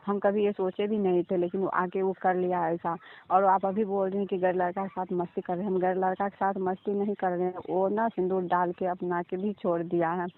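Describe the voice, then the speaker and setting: Give a thin, band-limited phone call recording of a woman with a Bihari accent. Her pitch is average and moves little. She speaks colloquially and insistently, repeating herself as she defends her position to an interviewer. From Bihar, India. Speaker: interviewee, orchestra stage dancer.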